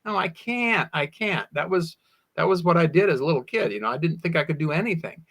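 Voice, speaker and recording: A man with a somewhat high-pitched voice speaks as a guest in an interview. He is talkative and tells personal stories, often quoting himself as he goes. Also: lively intonation, a little fast, fairly bright tone. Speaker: guest, sword swallower. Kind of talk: animated anecdotal interview storytelling.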